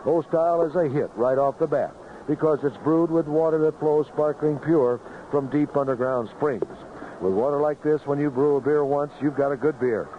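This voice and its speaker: A man's voice: on the high side, moderate pace. A man reading a scripted beer commercial as an announcer on 1970s radio. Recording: noisy, narrow-band vintage 1970s radio.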